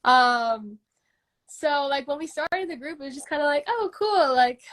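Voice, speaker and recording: A woman's voice: high voice, lively intonation, a little slow, fairly bright tone. A woman in her twenties with a congested voice, chatting casually as an interview guest, full of "like" and "um" fillers. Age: 20s. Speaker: singer-songwriter guest.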